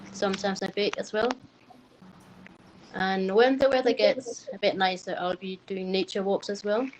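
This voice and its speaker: A woman's voice: medium pitch, fairly even intonation, normal speed. A woman from Denmark, chatting casually as a livestream guest. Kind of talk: casual chat. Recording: noisy live stream.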